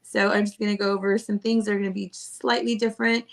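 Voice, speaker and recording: A woman's voice: mid-range voice, flat delivery, fast talker, creaky. A woman co-hosting a casual podcast chat, bubbly and teasing, laughing often. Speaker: podcast co-host.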